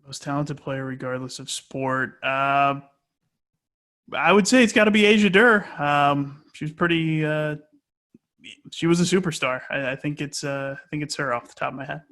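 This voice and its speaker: Bright-voiced man, casually thinking aloud. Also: a little high, normal speed. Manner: hesitant, thinking aloud with frequent stalling. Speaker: sports play-by-play announcer, interview guest. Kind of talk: casual conversational interview answers.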